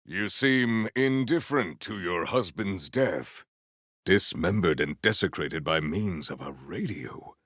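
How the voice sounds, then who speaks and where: An earnest, noble warrior hero from a video game. His low voice is gravelly and very unhurried, with formal, stately diction and theatrical flourishes. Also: fairly bright tone, no echo, clean studio sound, full character voice. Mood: thoughtful, serious. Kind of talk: scripted video game character lines.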